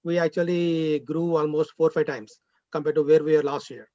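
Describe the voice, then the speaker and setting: Guy on a zoom call, kinda high voice, lots of uhs, sounds muffled and dry. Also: level tone, moderate pace, mellow, dry recording. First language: Telugu. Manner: hesitant, many fillers. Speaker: language school director. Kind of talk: event speech on a video call. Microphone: video call. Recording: dull, dry video call audio.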